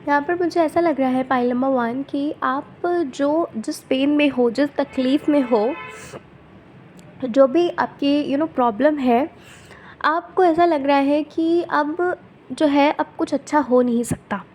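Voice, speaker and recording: A woman tarot reader with a sore throat, speaking softly and gently, often checking in with her viewers. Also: slow pace, a little hiss or hum. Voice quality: soft and low-volume.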